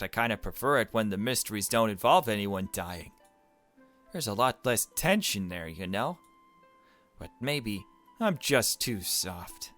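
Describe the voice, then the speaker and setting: A man reading aloud the narration of a gentle, anxious, bookish young girl in a visual novel. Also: lowish voice, full character voice.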